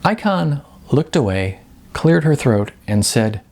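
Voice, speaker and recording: A man's voice: mid-range voice, big pitch swings, takes it slowly, a little mellow. A man reading scripted story narration as an audiobook narrator.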